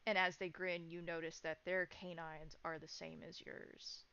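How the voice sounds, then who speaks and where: A woman running a horror tabletop game as game master, narrating in halting, descriptive phrases. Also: lowish voice, level tone, sharp tone, clean tone. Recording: dull, lo-fi sound.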